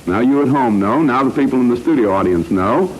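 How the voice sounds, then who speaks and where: A male American quiz host from old-time radio, with lively, swooping intonation. He is wry and teasing as he talks to the contestants. The recording is vintage and clearly noisy. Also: mid-range voice, fairly quick.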